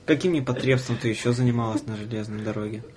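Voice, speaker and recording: Young male host, mid-pitched, blunt and slangy, informal questioning over faint background noise. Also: fairly even intonation, fast talker, a little mellow. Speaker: podcast host. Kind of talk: casual interview chat.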